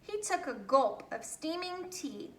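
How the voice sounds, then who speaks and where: A woman storyteller reading a children's story aloud in a deliberate, expressive way. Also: high-pitched. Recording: roomy, echoey home recording.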